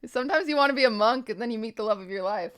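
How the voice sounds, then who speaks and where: A woman's voice: fairly high pitch, lively intonation, very fast, crowd noise. A woman hosting a podcast in an informal, chatty conversational style. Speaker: podcast host. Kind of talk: casual chat.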